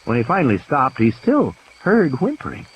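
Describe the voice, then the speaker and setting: Animated man narrating a suspenseful story. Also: mid-range voice, normal speed, a little hiss or hum, low-quality recording. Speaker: audiobook narrator. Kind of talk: dramatic scripted story narration. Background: cricket-like night ambience sound effects.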